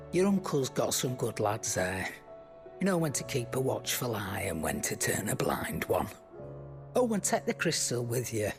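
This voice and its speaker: An actress playing a shrewd, worldly trader with a blunt, colloquial way of talking in a video game. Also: female voice, very deep voice. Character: shrewd, earthy female trader, brisk and worldly. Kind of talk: video game cutscene dialogue.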